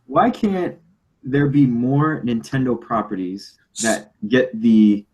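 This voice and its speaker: A man with a rough, husky voice of medium pitch talks on a casual panel. He is emphatic and often says a line twice to stress it. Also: expressive, slow pace, darkish tone, poor audio. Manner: emphatic, repeats himself. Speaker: podcast panelist.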